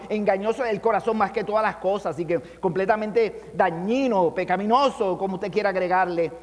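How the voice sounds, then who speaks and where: A pastor preaching a sermon with impassioned emphasis, his voice rising and falling to drive points home. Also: high-pitched. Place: church hall. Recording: long church reverb.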